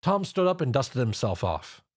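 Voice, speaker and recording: A man narrates a scripted story. His voice has a medium pitch. His delivery is animated, with plenty of rise and fall. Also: normal speed, dry recording, studio quality.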